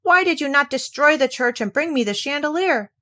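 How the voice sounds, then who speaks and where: A woman's voice: sits high, animated, fairly quick, sharp tone, dry recording, studio quality, pitched above the performer's own voice. A woman voicing a scheming, wicked old woman cook who screeches and scolds in a fairy tale. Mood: screaming rage.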